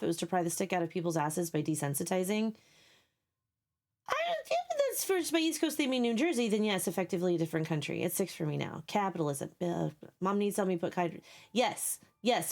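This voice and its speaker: A young woman streamer chatting casually with viewers, blunt and sarcastic, swearing freely, her voice scratchy and sleepy. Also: mid-range voice.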